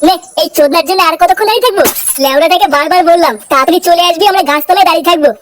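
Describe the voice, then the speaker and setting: A man's voice: very high voice, rapid-fire, very noisy. A man voicing an impatient, foul-mouthed street-wise lad in a comic cartoon, grumbling crudely.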